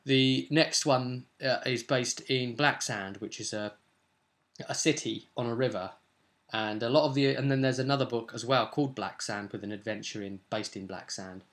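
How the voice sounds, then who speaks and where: A young man with a bright, forward voice at an average male pitch talks casually to a camera at his desk. He is a game master explaining things informally, wandering from one thought to the next as he thinks aloud. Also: normal speed. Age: young adult. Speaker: vlog host, tabletop game master. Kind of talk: casual vlog talk about a role-playing game. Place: small furnished room at home, indoors. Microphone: webcam or camera at desk distance.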